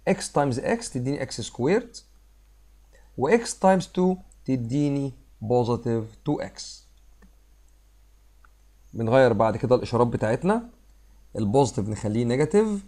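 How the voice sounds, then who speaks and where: A man with an Egyptian Arabic accent, a little hoarse from a cold, talks quickly through a math lesson. His voice is mellow and dark, and he explains each step while mixing English math terms into his Arabic. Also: mid-range voice, expressive. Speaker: math teacher. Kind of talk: screen-recorded math lesson. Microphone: voice-over on a screen recording.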